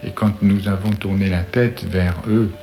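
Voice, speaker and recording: A man with a low-pitched voice tells a story reflectively. He moves in short, halting phrases, leaving gaps between them. Music plays clearly behind him. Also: fairly even intonation, dark tone. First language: French. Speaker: composer telling his story.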